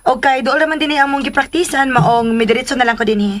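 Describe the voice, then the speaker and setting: An actress with a Filipino accent playing an eager, coaxing young daughter in a radio drama. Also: female voice, high voice, fast talker, slight background noise, close to an everyday voice. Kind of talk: Philippine radio drama acting.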